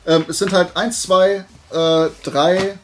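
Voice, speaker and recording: A man's voice: high-pitched, steady tone, slow pace. A man from Germany chatting casually in a haul vlog as a collector, in a rambling, hesitant way, often correcting himself. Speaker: YouTube collector showing flea market finds.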